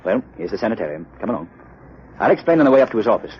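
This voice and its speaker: An actor playing a suave, resolute gentleman crime fighter in a 1930s radio drama on an old recording. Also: fairly low pitch, big pitch swings, rapid-fire, slightly rough, muffled recording, full character voice. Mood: serious.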